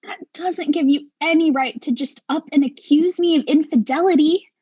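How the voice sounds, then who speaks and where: A woman acting an exasperated, sharp-tongued professional in a darkly comic mystery play, arguing with precise sarcasm. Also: high voice, moderate pace, no room sound, lo-fi sound, natural voice. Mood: hurt, annoyed.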